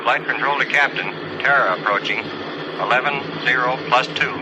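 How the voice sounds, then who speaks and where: An actor playing a brisk, businesslike male flight control operator making clipped procedural calls over a radio in a 1950s production. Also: mid-range voice. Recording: distant, very noisy vintage radio transmission.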